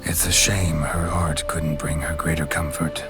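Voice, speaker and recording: An actor playing a gruff, world-weary monster hunter in a video game, with a terse, deadpan manner. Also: male voice, extremely low pitch, measured pace, over music. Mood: solemn.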